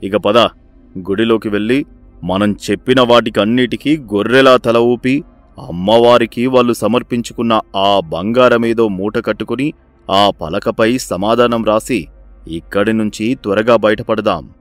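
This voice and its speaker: A man voicing a greedy, scheming village-head father who commands his son in a sly, domineering way, for an animated story. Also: fairly low pitch, unhurried, no room sound, faint music behind, lightly acted.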